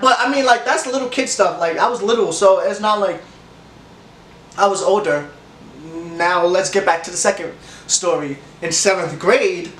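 A young man with a stuffy nose, talking in a fairly high voice, rambles through a casual story time with lots of laughs and restarts. The room has a noticeable echo, and the camera mic sits a little way off with a faint hum behind him. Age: young adult. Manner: rambling, animated, laughs often, hand gestures. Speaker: vlogger. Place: room with leather couch, indoors. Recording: echoey room, camera a bit far.

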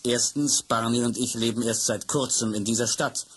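An actor playing a kindly father who is an actor and theatre director, talking in a calm, reassuring way in a vintage radio play. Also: mid-range voice, moderate pace, noisy background, close to an everyday voice.

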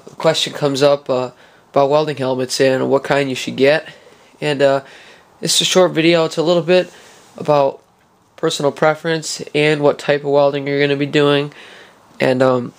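A man casually talking through welding gear in a garage workshop, relaxed, with lots of uh fillers. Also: on the high side, vocal fry. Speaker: hobby welder showing gear. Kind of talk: casual how-to vlog. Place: garage workshop with concrete floor.

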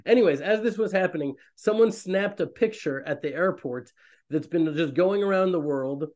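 A man in his thirties, a rabbi hosting an online fellowship, talking in a loose, rambling, animated way on a webcam from a small room. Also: sits high, normal speed. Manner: rambling, animated, self-interrupting. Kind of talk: casual online talk to a community. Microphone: webcam on a video call.

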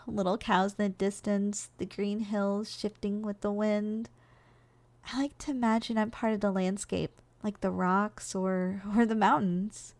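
A woman playing a gentle, doting giantess secretary in an ASMR role-play, coaxing and nurturing in manner. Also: mid-range voice, close to an everyday voice. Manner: soft, coaxing, nurturing, teasingly affectionate. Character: gentle, doting giantess secretary caring for her shrunken boss.

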